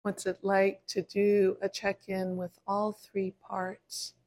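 A woman meditation teacher leading a guided body scan in a gentle, unhurried way, pausing between short phrases. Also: medium pitch, completely flat delivery, rough recording.

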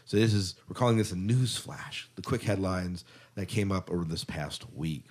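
A man's voice: low voice, moderate pace, husky. A man hosting a podcast, chatting casually through headlines and prompting his co-hosts. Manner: conversational, prompts co-hosts with questions. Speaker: podcast host. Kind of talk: casual chat, reading headlines.

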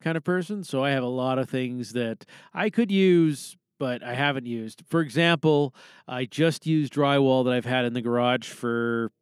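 A man's voice: mid-range voice, moderate pace, clean tone, no echo, clean studio sound. A Canadian man hosting a podcast, talking in a casual, rambling, self-deprecating way. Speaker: podcast host. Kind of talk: casual rambling solo chat. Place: small recording booth.

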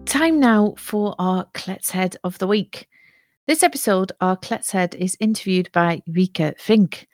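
A woman's voice: mid-range voice, a little slow, no echo, faint music behind. A woman hosting a podcast, reading a scripted introduction to a segment. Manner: announcing, read from script. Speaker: podcast host. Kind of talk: scripted narration.

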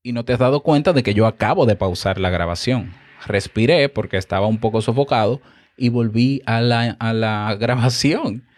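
A man's voice: mid-range voice, moderate pace, a little mellow. Dominican man coaching podcasters. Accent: Dominican Spanish. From Dominican Republic. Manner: coaching, addresses the listener directly with frequent "¿ya?". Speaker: podcast host. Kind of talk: instructional podcast monologue. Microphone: podcast recording app.

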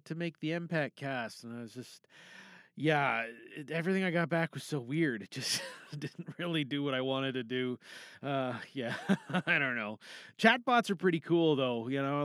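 A man's voice: mid-range voice, a bit bright, dry recording, clean studio sound. A man hosting a podcast in a casual, rambling solo chat, chuckling through his asides. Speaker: podcast host.

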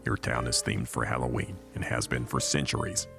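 Bottomless, gravelly, stern old inspector creature. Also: male voice, fairly even intonation, a little fast, loud music behind the voice, heavily acted. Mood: stern, formal. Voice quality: very deep, gravelly. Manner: formal, clipped, bureaucratic. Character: stern, officious old eyeball inspector from an afterlife council. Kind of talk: audio comedy drama acting.

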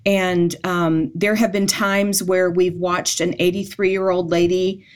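A woman's voice: on the low side, level tone, slow pace, clean tone. A woman in her forties, a guest from events ministry, telling heartfelt stories in a casual podcast chat with frequent restarts.

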